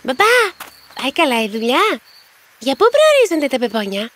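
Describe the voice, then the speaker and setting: A woman's voice: high-pitched, huge pitch swings, a little hiss or hum. A woman voicing a proud, inventive young girl devoted to her father in a cartoon fairy tale. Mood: curious, eager.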